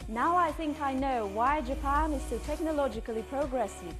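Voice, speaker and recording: A woman's voice: high voice, moderate pace. A woman reporter reading scripted first-person documentary narration, with instrumental music behind her.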